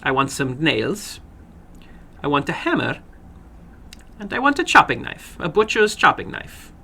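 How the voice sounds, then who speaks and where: A man voicing an eager, animated little foreign gambler in halting, broken English for a dramatic horror story reading. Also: on the high side, creaky, heavily acted. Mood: busy, commanding.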